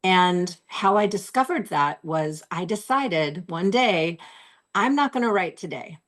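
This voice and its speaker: Woman with a slightly low voice coaching earnestly. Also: animated, unhurried. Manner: earnest, encouraging, repeats key phrases. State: losing her voice. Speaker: host. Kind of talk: motivational self-help talk to camera. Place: home room with bookshelves.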